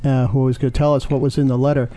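A man's voice: medium pitch, level tone, very fast, warm tone. A man hosting a conversational studio interview, guiding his guests with short questions. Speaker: interview host.

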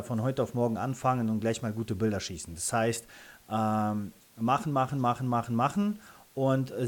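A man with a Russian accent, a native Russian speaker, answering interview questions casually in halting, self-correcting phrases. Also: medium pitch. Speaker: interview guest, freelance photographer and videographer.

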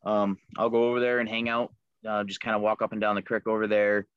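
A man's voice: on the low side, little pitch movement, quick pace, clear, resonant tone, dry recording, dull audio. A man guest talking casually about the fishing lures he makes, easygoing, with many ums and fillers. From Liverpool, New York. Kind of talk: casual interview chat.

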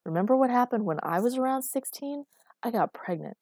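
A woman's voice: a little high, animated, fast talker, a little mellow, no echo, studio quality, pitched above the performer's own voice. A woman voicing a bitter, scornful mother in her thirties who berates and cuts people down in audiobook dialogue. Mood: bitter, accusing. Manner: berating, cutting.

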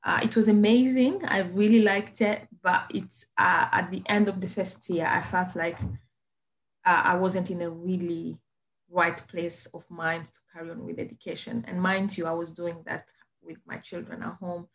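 Hesitant woman from Rwanda, lowish voice. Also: steady tone, normal speed, a little hiss or hum, rough recording. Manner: hesitant, many fillers and restarts. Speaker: podcast guest, business founder. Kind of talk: casual interview storytelling.

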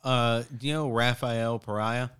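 A man's voice: mid-range voice, fairly even intonation, unhurried, a bit bright, smooth. A man hosting a podcast in casual comedic chat, with an old-school radio voice and a dry, wry manner. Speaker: podcast host.

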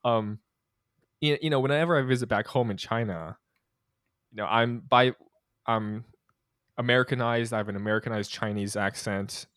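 A man's voice: mid-range voice, normal speed, long pauses, fairly bright tone, dry recording, studio quality. A young man from the United States co-hosting a podcast, talking in a thoughtful, hesitant way with many restarts and small laughs. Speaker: podcast co-host. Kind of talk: casual conversational podcast.

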